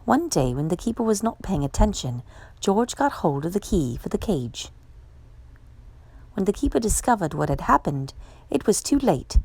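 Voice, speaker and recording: A woman reading a children's picture book aloud as a storyteller, with expressive, measured delivery. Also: mid-range voice, gravelly, slightly rough, no echo. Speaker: storytime reader.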